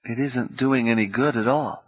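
A man's voice: medium pitch, normal speed, slightly rough, no room sound, lightly acted. A man voicing a sick, exhausted teenage boy who talks wearily and with resignation. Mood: despairing. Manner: weary, flat, resigned. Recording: dull lo-fi cassette audio.

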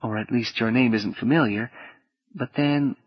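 A man voicing a polite, gently distracted suburban mother with a slight Southern US accent in an audiobook. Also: medium pitch, normal speed, breathy edge, highs cut off, natural voice. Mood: polite, vague.

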